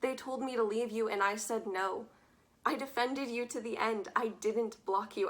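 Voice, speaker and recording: A woman's voice: fairly high pitch, fairly bright tone. A young woman acting a teenage girl, wry and wistful, reading letters in a stage monologue. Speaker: stage actor.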